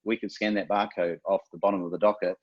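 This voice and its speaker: A man with a New Zealand accent presents in a chatty, self-deprecating way, his lowish voice a little husky. The recording is muffled and lo-fi. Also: steady tone, fast talker. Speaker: guest speaker from a retailer. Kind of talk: conference webinar presentation.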